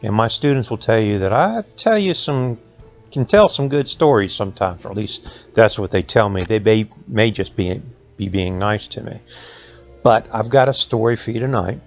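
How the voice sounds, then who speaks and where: A man with a Southern Appalachian American accent telling stories to children in a folksy, conversational way with dramatic pauses. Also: on the low side, animated, muffled recording, faint music behind. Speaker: school resource officer reading folk tales to students.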